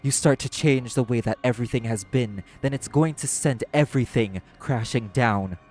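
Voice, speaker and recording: A man acting out a jaded, sardonic club manager in an audio drama. The voice is mid-pitched and world-weary, with a confrontational edge, over soft background music. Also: normal speed, close to an everyday voice. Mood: scornful, confrontational. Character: apathetic, cynical socialite club manager and parent. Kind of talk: scripted audio roleplay acting.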